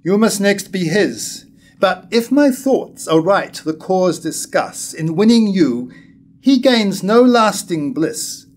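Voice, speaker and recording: Older man acting a slow, bitter wronged husband. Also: high voice, lively intonation, full character voice. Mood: reproachful, solemn. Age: 70s. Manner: measured, declamatory. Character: wronged husband, bitter, reproachful, mournfully prophetic. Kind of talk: staged verse reading of Jacobean tragedy. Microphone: stand microphone at lectern.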